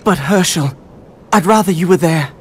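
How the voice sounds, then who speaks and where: A man's voice: high voice, normal speed, slight background noise, natural voice. Man as an earnest, headstrong young adventurer. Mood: determined. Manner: earnest, persuasive, intense. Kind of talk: animated game cutscene acting.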